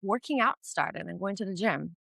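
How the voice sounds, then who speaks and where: A woman's voice: mid-range voice, animated, moderate pace, creaky, a bit bright, dry recording. A woman in her twenties, originally from Iran, talking casually and candidly as a guest about her life, with frequent fillers and restarts. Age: 20s. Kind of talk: casual conversation.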